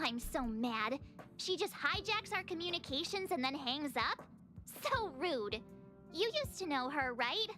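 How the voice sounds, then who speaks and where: A woman's voice: very high voice, exaggerated voice. A woman playing a peppy, hot-headed young girl in an anime-style video game, brash and emphatic.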